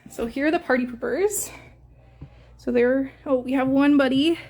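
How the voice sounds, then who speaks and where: A woman's voice: sits high, moderate pace, a little mellow, slight background noise. A young woman with a Canadian accent chatting in a casual live stream, full of fillers and coos. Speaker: hamster breeder streaming live.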